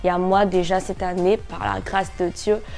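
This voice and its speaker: A woman of nineteen chatting casually to camera as a vlogger, in an animated, slangy way with English words mixed in. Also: medium pitch, moderate pace, faint music behind. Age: 19, nearly twenty.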